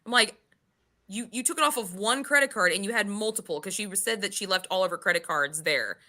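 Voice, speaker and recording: A woman with a forceful, bright voice talks casually and with strong opinions about books. She swears easily and piles up exasperated rhetorical questions in an informal podcast style. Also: medium pitch, a little fast. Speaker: book club podcast host. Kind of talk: casual opinionated book discussion.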